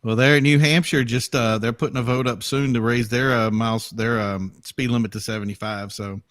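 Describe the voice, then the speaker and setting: A man's voice: medium pitch, fairly even intonation, fairly bright tone, no room sound. Laid-back man in a dry room. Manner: laid-back, quick reactions, laughs easily. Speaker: co-host. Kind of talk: casual chat.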